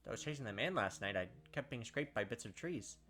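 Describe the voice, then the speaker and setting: A man's voice: mid-range voice, animated, brisk, over music. A man reading visual novel narration aloud as a let's player, breaking off for casual asides.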